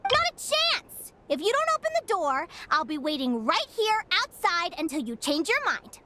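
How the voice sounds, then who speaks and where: A woman's voice: very high-pitched, big pitch swings, normal speed, noisy background. An actress playing an earnest, curious young woman who keeps pressing with questions in a game cutscene. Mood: pleading. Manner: persistent, pleading, eager questions. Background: game score.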